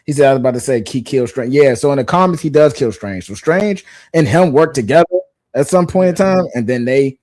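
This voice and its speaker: A man's voice: mid-range voice, brisk, a little mellow, no room sound. Laid-back man in his thirties, slangy. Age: 30s. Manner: laid-back, slangy, repeats himself for emphasis. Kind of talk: casual pop culture chat.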